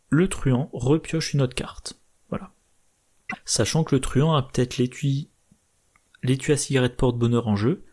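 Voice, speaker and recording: A slightly hoarse man methodically explaining card game strategy, thinking aloud with hesitations. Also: mid-range voice, a little mellow. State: slightly hoarse, irritated throat. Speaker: board game channel host.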